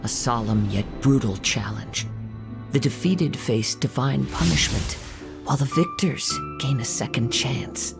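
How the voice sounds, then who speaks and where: Game role: young wandering samurai, melancholy and measured, slightly low voice, music clearly underneath. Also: male voice, animated. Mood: solemn, wistful. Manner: measured, poetic, formal. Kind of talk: video game story dialogue and narration. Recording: music behind the voice.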